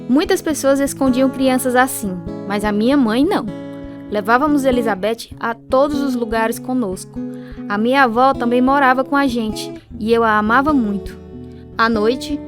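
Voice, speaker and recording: A woman's voice: on the high side, natural voice. An actress playing a woman who wistfully narrates her own childhood in a Brazilian radio drama.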